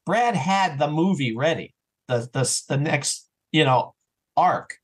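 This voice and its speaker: Man, fairly high-pitched voice, casual interview guest, enthusiastic, animated, rambling. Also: takes it slowly, husky. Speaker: interview guest, audio drama writer and actor.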